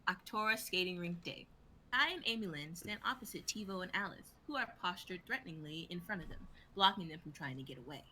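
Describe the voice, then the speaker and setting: A woman's voice: lowish voice, expressive, brisk, pushed and bright, slight background noise, rough recording. A young woman reading stage directions as scripted narration in a live drama reading. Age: young adult.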